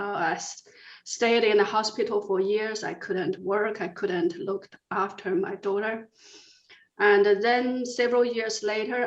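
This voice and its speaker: A Chinese-accented woman in her fifties answers interview questions steadily, often pausing and restarting her sentences. Her voice comes through from a distance, roomy and a little muffled. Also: medium pitch. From China. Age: 50s. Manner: measured, with frequent hesitations and restarts. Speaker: guest. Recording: roomy, distant, dull lo-fi audio.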